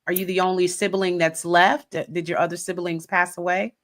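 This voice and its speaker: Female host, middle-aged, low-pitched, lively and expressive in her questions and stories. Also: a little fast. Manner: expressive, animated storyteller. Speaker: podcast host. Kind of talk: conversational interview.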